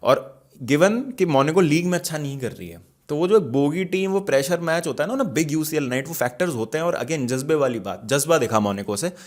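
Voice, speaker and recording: A man's voice: a little high, animated, fairly quick. Indian man giving casual football analysis. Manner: conversational, opinionated, with emphatic asides. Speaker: football show host. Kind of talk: casual football match analysis, mixing Hindi and English.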